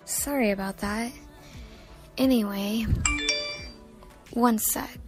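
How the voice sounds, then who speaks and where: A woman's voice: fairly high pitch, slow pace, over music. A woman playing a playful, flirtatious date in an audio drama. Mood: apologetic.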